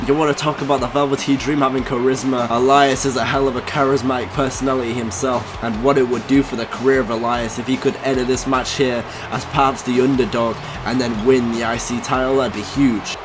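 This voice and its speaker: A man commentating his own wrestling video game, losing his voice, getting hyped and shouting at the big moves. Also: mid-range voice, steady tone, fairly quick, hardly any pauses, noisy background. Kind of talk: excited play-by-play commentary.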